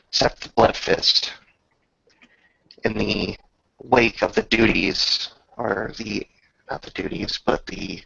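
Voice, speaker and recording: Gravelly man narrating grandly in a roomy space. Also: fairly low pitch, pushed and bright. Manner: measured, grandiose, with drawn-out pauses. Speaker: game master. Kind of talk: dramatic storytelling narration for a tabletop roleplaying game. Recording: slightly dull, roomy home recording.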